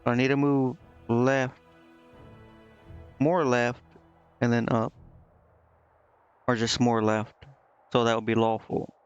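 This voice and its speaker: A man's voice: mid-range voice, little pitch movement, slow, pauses often, smooth, highs cut off. A man doing casual live gameplay commentary, thinking aloud indecisively and reading game text out. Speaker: game streamer. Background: game music.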